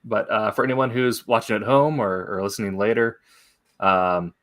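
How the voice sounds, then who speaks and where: A man hosting a podcast in a casual, halting way, with many pauses as he goes. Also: on the low side, a bit bright. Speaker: podcast host.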